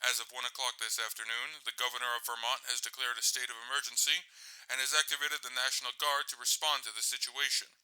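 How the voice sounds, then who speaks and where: A man's voice: mid-range voice, flat delivery, fast talker, pushed and bright, clean tone, poor audio. A man playing a serious, formal news anchor delivering scripted breaking coverage of a disaster. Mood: grave.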